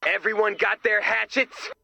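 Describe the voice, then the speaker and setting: Nervous tactless camper dude for a game, high creaky voice, really sharp and tense. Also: male voice, steady tone, normal speed, dry recording, full character voice. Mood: blunt. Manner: anxious, blunt, babbling. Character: nervous, tactless young male summer camper. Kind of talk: animated video game voice acting.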